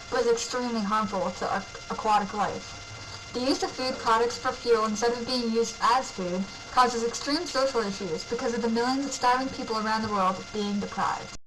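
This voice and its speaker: A flowery, preachy environmentalist, acted by a woman presents in an amateur educational film. The voice is on the high side, with a theatrical, recited delivery and clear background noise. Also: close to an everyday voice. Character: flowery, preachy eco-minded presenter named Gunther. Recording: noisy amateur recording.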